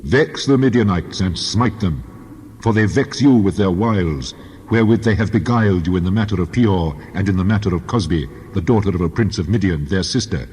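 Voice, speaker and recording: A man's voice: deep voice, brisk, a little mellow, breathy edge. A man playing a solemn, stern God who proclaims with weighty authority in a dramatized Bible reading. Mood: stern command. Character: God, solemn commanding male deity, stern and wrathful. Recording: long cavernous reverb.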